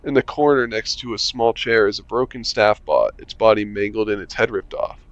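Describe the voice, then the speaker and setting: A man's voice: lowish voice, fairly even intonation, moderate pace, no echo, dull audio. A man reading a visual novel aloud for a let's-play, chuckling and adding wry asides. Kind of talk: casual let's-play narration.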